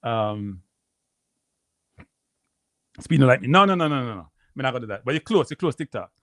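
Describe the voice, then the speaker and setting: Deep-voiced Jamaican man chatting. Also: animated, normal speed, a bit bright, no echo, clean studio sound. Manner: rambling, repeats phrases, addresses viewers as people. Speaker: live stream host. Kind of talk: casual livestream chat.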